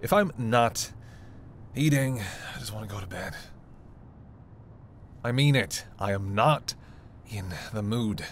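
A man's voice: medium pitch, lightly acted. A man playing a weary, flirtatious vampire with teasing, sarcastic banter in an intimate roleplay audio drama. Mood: irritated, teasing. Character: sardonic, flirtatious male vampire, weary and hungry. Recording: noisy, ambient sound effects behind voice.

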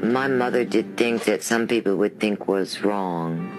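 A woman working as a psychic, speaking in a trance in a halting, dreamy, hesitant way. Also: extremely low pitch. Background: music.

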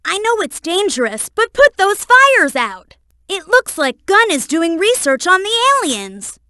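A woman's voice: very high-pitched, very animated, measured pace, pushed and bright, no echo, heavily acted. A woman voicing an eager, brainy young boy fox sidekick in a video game, earnest and helpful as they explain things. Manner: earnest, instructive, explains carefully.

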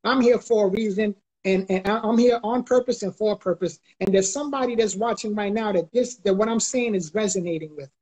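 A man's voice: high voice, fairly quick, hardly any pauses, darkish tone, rough recording. A middle-aged man from the Bronx. He gives an emphatic motivational talk on a live video call and repeats key phrases like a preacher. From the Bronx, New York. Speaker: guest motivational speaker. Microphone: video call, close webcam or phone.

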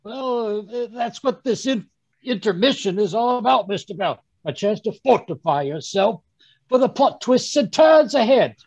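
A man's voice: high voice, lively intonation, moderate pace, no room sound. A man playing a genial, hesitant, easily flustered doctor in an old-time radio mystery, stammering as he goes. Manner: stammering, dithering. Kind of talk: 1930s radio mystery acting. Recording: dull video-call sound.

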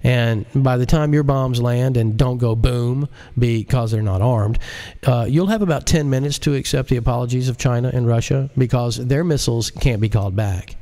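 Man playing a smug, gravelly computer genius. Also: mid-range voice, natural voice. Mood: smug menace. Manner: calm, deliberate, condescending. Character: smug, menacing male computer genius taunting his foe. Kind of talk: audiobook character acting.

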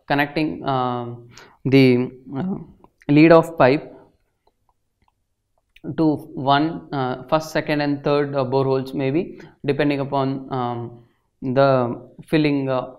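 A young man gives an explanatory lecture in a halting, hesitant way, with frequent filled pauses. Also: medium pitch. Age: young adult. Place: indoor studio at a desk. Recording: slightly dull, lo-fi sound.

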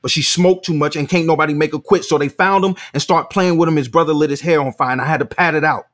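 A man's voice: a little high, fairly even intonation, fast, fairly bright tone, natural voice. Actor as blunt, confrontational father. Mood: aggrieved. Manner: blunt, accusatory, slangy. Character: confrontational working-class father defending his bullied son. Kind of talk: audio drama acting.

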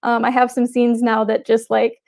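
Woman author, panel talk over a video call, chatty, filler-heavy, fairly high voice. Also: completely flat delivery, normal speed, a little mellow. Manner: chatty, frequent "like" and "um" fillers, laughs often. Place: home room on a video call. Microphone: video call webcam mic.

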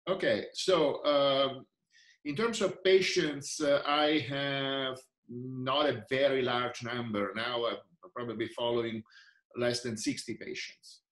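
A man's voice: medium pitch, steady tone, slow, a bit bright, roomy sound. A man in his sixties with an Italian accent, answering interview questions over a video call with many fillers and restarts. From Italy. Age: 60s. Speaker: researcher and physician. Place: indoor room.

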